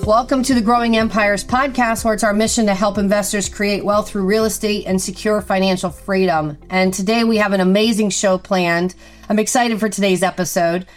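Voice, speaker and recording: A woman's voice: mid-range voice, fairly even intonation, a little fast, soft background music. A woman hosting a podcast, introducing her co-host and guest in an upbeat, scripted-sounding way.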